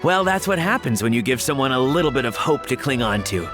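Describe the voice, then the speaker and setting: A wry, relaxed man on the mend talks with a lot of rise and fall in his voice. A man voices him. Clear game music plays underneath. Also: mid-range voice, fast, close to an everyday voice. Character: wry man recovering from poisoning.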